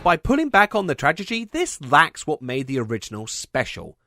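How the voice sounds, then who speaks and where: A man's voice: fairly high pitch, huge pitch swings, normal speed, sharp tone, soft background music. A man hosting a video essay, reading scripted commentary with wry, sarcastic asides.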